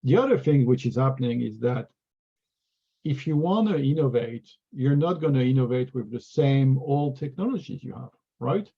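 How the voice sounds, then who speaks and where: This French-accented man speaks earnestly and often restarts his words mid-thought. His voice is gravelly and husky, at a middle pitch. The video call audio is dull and lo-fi. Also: lively intonation, moderate pace. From France. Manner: earnest, repeats words while thinking. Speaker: regional sales executive. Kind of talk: webinar interview answers.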